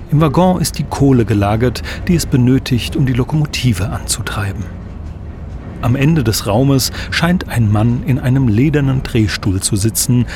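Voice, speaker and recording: A man reading scripted narration for an audio play. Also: mid-range voice. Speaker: narrator and sound designer.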